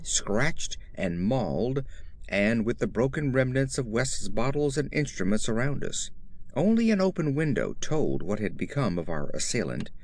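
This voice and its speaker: A man narrating a horror story as a dramatic, scripted audiobook reading. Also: medium pitch.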